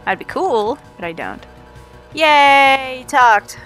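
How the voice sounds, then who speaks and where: A woman's voice: sits high, expressive, slow pace, a bit bright, faint music behind, low-quality recording. A Canadian woman with a slight Newfoundland lilt, giving chatty, playful live commentary on her speedrun. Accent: Canadian, with a touch of Newfoundland. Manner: chatty and playful, jokes with chat.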